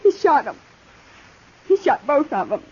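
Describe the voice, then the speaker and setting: Halting, distraught wounded frontier girl, high-voiced. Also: sing-song. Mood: grieving, bitter. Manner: halting, broken phrases. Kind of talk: 1950s radio western acting. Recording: muffled, hissy 1950s radio recording.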